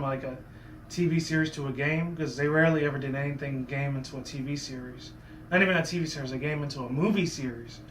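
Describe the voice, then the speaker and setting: A man with a sore, hoarse voice, talking in a casual, rambling commentary vlog full of asides and restarts. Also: a little high, fairly bright tone, reverberant, a little hiss or hum. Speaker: YouTuber.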